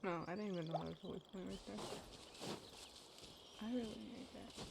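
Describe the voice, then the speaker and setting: A woman's voice: mid-range voice, fairly quick, vocal fry, heavy background noise. A woman giving casual, off-the-cuff commentary while streaming a video game. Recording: loud game music behind. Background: loud video game music.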